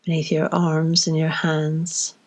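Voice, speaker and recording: A woman leading a guided body scan meditation, giving slow, gentle instructions with long pauses between phrases. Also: low-pitched, completely flat delivery.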